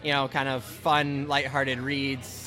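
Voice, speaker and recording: Podcast host from Ontario, bright crisp voice, casual rambling delivery full of restarts. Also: male voice, medium pitch, level tone, slow pace. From Ontario, Canada. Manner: rambling, many restarts and "like", "right?". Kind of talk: casual conversational interview.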